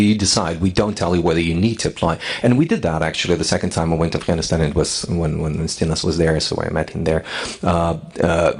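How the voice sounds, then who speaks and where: A man's voice: low-pitched, breathy edge. A man from Lithuania answering a question on a conference panel, hesitant and full of fillers and restarts. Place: parliament conference hall.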